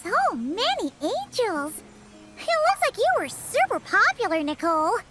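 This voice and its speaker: Very high, squeaky fairy girl. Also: wildly swooping pitch, measured pace, over music, heavily acted. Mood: excited, admiring. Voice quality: squeaky, childlike. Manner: bubbly, exclaiming, eager. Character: tiny excitable floating fairy companion, childlike girl. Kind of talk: video game voice acting.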